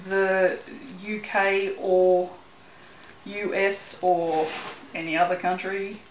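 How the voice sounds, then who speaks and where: Aussie woman rambling slow while she works, laughs a lot, echoey room w faint hiss. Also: mid-range voice. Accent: Australian. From Australia. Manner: chatty, rambling, laughs often, swears casually. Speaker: soap-making channel host. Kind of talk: casual chat while working.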